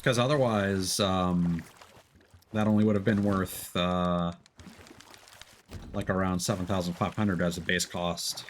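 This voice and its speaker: A man casually explaining game mechanics on a gaming stream in a halting, rambling way. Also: low voice, steady tone, a little hiss or hum.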